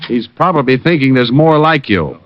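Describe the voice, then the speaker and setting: An actor playing a wisecracking private detective who also narrates, in 1940s radio acting style. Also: male voice, medium pitch, animated, moderate pace, no echo, natural voice. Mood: wry. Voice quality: dry wisecracking delivery. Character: wisecracking tough private eye, adult man. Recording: dull lo-fi old radio recording with music.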